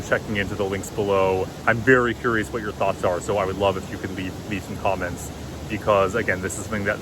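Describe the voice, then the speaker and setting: A man with a deep voice giving relaxed, encouraging meditation advice in a casual vlog. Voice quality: deep, low voice. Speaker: vlogger and meditation coach. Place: outdoors at a loud waterfall. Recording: outdoor recording with loud waterfall noise. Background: rushing waterfall.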